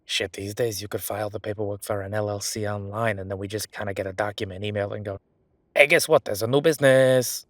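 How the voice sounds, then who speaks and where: Man playing a low-voiced, wry, chatty records clerk. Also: monotone, quick pace, hardly any pauses, no echo, close to an everyday voice. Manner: rambling, wry, exaggerated feigned innocence. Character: chatty, sardonic male records clerk bending the rules. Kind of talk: roleplay audio drama.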